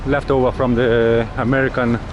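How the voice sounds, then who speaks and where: A middle-aged man from Europe narrating a travel vlog as he walks busy city streets, halting and pausing often on filler sounds. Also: medium pitch, little pitch movement, highs cut off. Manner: hesitant, halting, many filler pauses. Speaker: travel vlogger. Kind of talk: casual walking narration. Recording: outdoor street noise.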